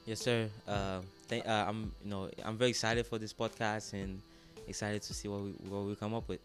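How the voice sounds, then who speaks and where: A young man from Haiti, a student-athlete guest, speaking in a relaxed, casual way and often saying "like" and "yes, sir" in an interview. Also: on the low side, brisk, over music. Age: young adult.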